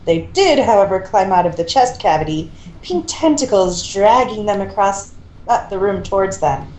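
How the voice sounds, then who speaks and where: A woman's voice: mid-range voice, lively intonation, normal speed, mellow. A woman reading a story aloud in an amateur style, with casual asides. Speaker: co-reader of fan fiction. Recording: echoey room with faint noise.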